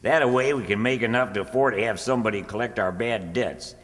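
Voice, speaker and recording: A man's voice: on the low side, quick pace, fairly bright tone, natural voice. An actor playing a self-important, scheming country businessman, explaining things insistently in an old-time radio comedy. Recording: reverberant hall stage performance.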